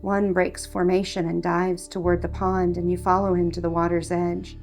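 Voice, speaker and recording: Female narrator for guided meditation: lowish pitch, creaky texture, measured and hushed scripted delivery. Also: flat delivery, faint music behind.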